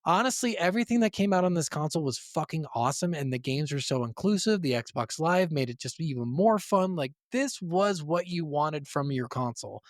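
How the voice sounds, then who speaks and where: A man's voice: fairly high pitch, animated, brisk, hardly any pauses, dry recording, studio quality. A man co-hosting a gaming podcast, chatting casually and bluntly with jokes and profanity. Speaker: podcast co-host.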